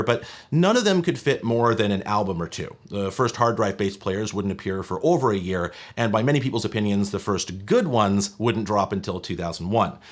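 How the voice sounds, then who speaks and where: A man in his thirties hosting a tech history video, reading scripted narration in a conversational way with wry, casual asides. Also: lowish voice. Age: 30s. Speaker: host of a tech history show.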